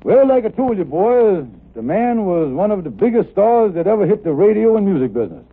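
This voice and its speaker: A man playing a folksy, genial radio-show host in broad comic dialect, with a raspy voice, in 1950s radio comedy. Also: high voice, big pitch swings, a little fast, full character voice. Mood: boastful. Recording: tinny old radio recording.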